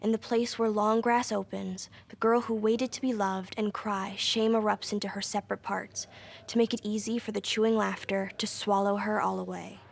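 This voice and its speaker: A woman performs a haunting storyteller reading literary prose. The voice is mid-pitched with a noticeable creak, grave and measured. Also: level tone, close to an everyday voice. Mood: solemn, haunting. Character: solemn, haunting storyteller of a forgotten girl.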